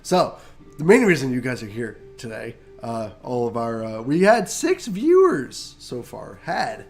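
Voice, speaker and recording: A man's voice: medium pitch, sing-song, measured pace, soft background music. A man co-hosting a casual gaming chat, nasal from a cold, joking and rambling. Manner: laid-back, joking, rambling. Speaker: podcast co-host.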